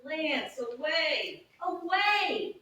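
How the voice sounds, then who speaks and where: A very high, bright woman's portrayal of a bossy stage servant, exclaiming impatiently in slow bursts. It is recorded from far off in a very echoey room. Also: expressive, full character voice. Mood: impatient, exasperated. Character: bossy, exasperated servant hurrying a dawdler. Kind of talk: staged Shakespeare reading.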